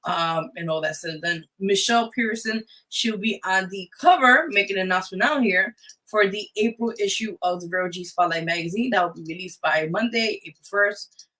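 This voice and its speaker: A woman's voice: medium pitch, normal speed, sharp tone, rough recording. A woman hosting a live show, giving casual announcements and addressing listeners informally as a group. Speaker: radio show host.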